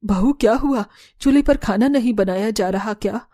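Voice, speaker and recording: A woman's voice: on the high side, moderate pace, warm tone, close to an everyday voice. A woman voicing a humble middle-aged mother-in-law in a cartoon, motherly and apologetic. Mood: concerned. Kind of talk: Hindi cartoon story dialogue.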